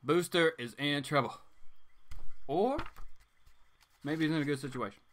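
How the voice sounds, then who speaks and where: A man's voice: fairly high pitch, unhurried, a bit bright. A man hosting a casual comics livestream, rambling informally and turning theatrical when he reads aloud. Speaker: host of a comics reading livestream. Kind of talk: casual livestream chat with dramatic read-aloud bits.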